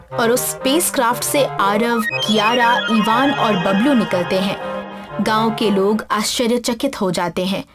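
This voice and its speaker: High-voiced woman narrating a children's story.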